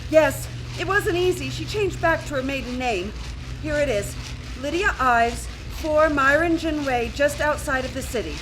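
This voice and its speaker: A woman's voice: sits high, normal speed, natural voice. A woman playing an eager, earnest young female sidekick in a live radio play, with a girlish manner. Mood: eager, concerned. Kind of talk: live old-time radio play acting. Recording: clearly noisy live studio recording.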